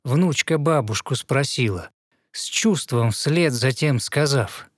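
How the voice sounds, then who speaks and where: A man narrating a fairy tale in verse, in an expressive storytelling manner. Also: medium pitch, takes it slowly, dry recording, clean studio sound.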